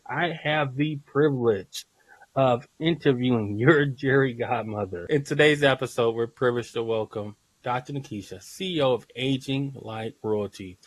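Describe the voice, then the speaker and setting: Male host, medium pitch, dry close recording; smooth read, casual chat. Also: no room sound, poor audio. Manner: reads intro smoothly, then stumbles and repeats words. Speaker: podcast host, nurse. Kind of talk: scripted intro then casual interview.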